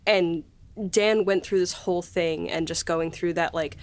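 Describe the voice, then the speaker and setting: A woman's voice: fairly low pitch, fairly even intonation, measured pace, dry recording. Effusive woman chatting, dry close sound. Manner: effusive, frequent laughter. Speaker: podcast host and audio drama writer. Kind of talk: casual behind-the-scenes chat.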